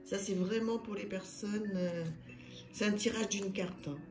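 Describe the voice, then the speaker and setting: A woman with a slightly hoarse, gravelly voice talks through her card decks, pausing often on little fillers. Her voice rings in an echoey room. Music plays clearly underneath. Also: mid-range voice, fairly even intonation. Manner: soft, hesitant, many fillers. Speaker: tarot and oracle channel host. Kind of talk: casual card deck review.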